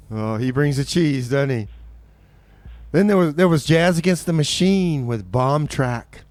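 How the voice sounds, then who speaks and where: A man's voice: fairly high pitch, lively intonation, slow, long pauses, a little mellow, dry recording. A man hosting a community radio music show with casual, folksy, laid-back patter.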